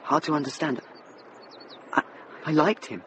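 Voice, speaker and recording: A young actor playing an earnest, well-bred youth, grateful and eager, in a radio drama. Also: male voice, fairly high pitch, unhurried, noisy background, close to an everyday voice. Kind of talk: British radio drama acting.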